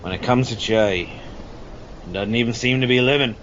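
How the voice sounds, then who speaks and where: A man playing a gruff, boastful old shark hunter and war survivor in an amateur audio drama, telling salty, rambling stories. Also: medium pitch, normal speed, natural voice. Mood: grim, haunted. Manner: rambling salty storyteller, addressing listener as Chief. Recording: dull lo-fi audio with loud background noise.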